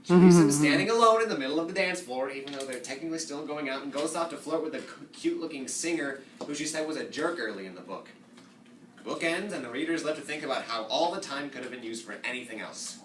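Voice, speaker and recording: A man's voice: on the high side, fast talker. A sarcastic young man giving mock book summaries in a comedic reader's theatre. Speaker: comedy sketch performer. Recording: echoey room, distant mic, background noise.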